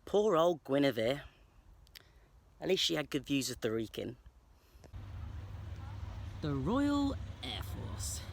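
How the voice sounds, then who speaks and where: A man's voice: a little high, huge pitch swings, slow pace, gravelly, long pauses, slight background noise. A man narrating a travel story as a storyteller, speaking to camera outdoors with a wry, playful manner. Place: outdoors on a cycling trip.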